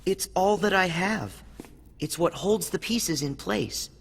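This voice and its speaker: A kindhearted teenage boy hero from a video game. His voice is high and swoops with feeling. He speaks sincerely and with resolve, at an unhurried pace. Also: animated, vocal fry, a little hiss or hum, full character voice. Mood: resolute. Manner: sincere, resolute, youthful delivery. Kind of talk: English video game cutscene acting. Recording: music behind the voice.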